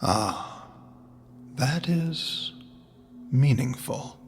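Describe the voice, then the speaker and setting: An actor playing an ominous disembodied voice in an audio drama, cryptic and menacing. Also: male voice, mid-range voice, animated, extremely slow, slight background noise. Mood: cryptic menace. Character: ominous disembodied male voice haunting a mind. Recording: long reverb, loud music behind.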